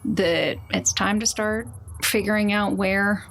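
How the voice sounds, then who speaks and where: A woman's voice: mid-range voice, steady tone, slow pace, creaky, fairly bright tone. A woman playing a tabletop roleplaying game, improvising in a loose, jokey, conversational way. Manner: loose, jokey, prone to chuckling. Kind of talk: casual in-character improvised chat. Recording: faint background noise.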